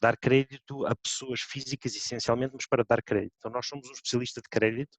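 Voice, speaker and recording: A middle-aged man from Portugal with a European Portuguese accent, speaking as a bank executive on an online panel and pausing on many fillers. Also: medium pitch, steady tone, dry recording. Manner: hesitant, many fillers and restarts. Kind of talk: panel discussion. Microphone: video call.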